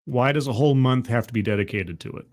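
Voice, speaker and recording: A man talks over a replayed panel in a sardonic, rambling way, often restarting his sentences. His pitch sits in the middle of the range. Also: quick pace, a little mellow. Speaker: streamer commenting on a video. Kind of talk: casual reaction commentary.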